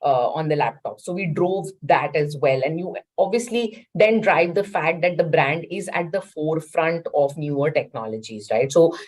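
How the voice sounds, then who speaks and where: Low-voiced woman, marketing panelist. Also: normal speed, few pauses, darkish tone. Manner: explanatory, frequent "right?" tags and fillers. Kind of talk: webinar panel discussion.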